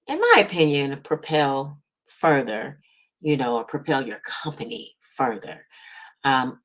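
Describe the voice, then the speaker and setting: A woman's voice: sits low, fairly bright tone. An American woman from the Midwest hosting a casual motivational livestream as a business coach, speaking straight to her audience and stressing key words. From Midwestern United States. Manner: emphatic, drawing out key words, checking in with "right?" and "y'all". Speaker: host, business strategist and coach.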